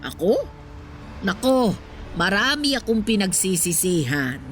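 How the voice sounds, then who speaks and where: A woman's voice: medium pitch, very animated, a little slow, slight background noise. A woman playing a candid, reflective elderly wife in a Filipino radio drama, giving motherly advice. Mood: regretful. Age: older. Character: elderly poor wife reminiscing on love and regrets. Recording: music playing under the voice.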